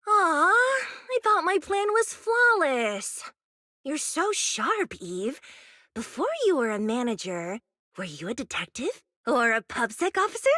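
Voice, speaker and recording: A woman voicing a playful, impulsive young female pop star in a video game, expressive and teasing with girlish reactions. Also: high-pitched, measured pace, no echo, clean studio sound, full character voice. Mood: playful coaxing. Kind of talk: animated video game voice acting.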